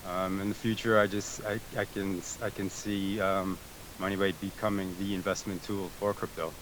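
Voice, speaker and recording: A man's voice: low-pitched, flat delivery, moderate pace, fairly bright tone, a little hiss or hum, poor audio. A man born in Greece answering interview questions over a voice chat, hesitant, with many fillers and restarts. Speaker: guest, tech support team member. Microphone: remote voice chat call.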